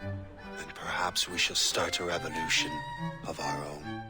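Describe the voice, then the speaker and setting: An actor playing a grave, resolute older Templar in a video game, speaking with solemn deliberation over music. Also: male voice, sits low, steady tone, measured pace. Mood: cold, intent. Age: middle-aged. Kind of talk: dramatic video game acting. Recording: voice under prominent music.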